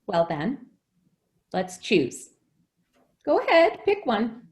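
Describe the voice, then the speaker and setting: A woman playing an affectionate, fretful caretaker of a young child in a radio drama, expressive and coaxing. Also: on the high side, takes it slowly, exaggerated voice. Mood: gently coaxing.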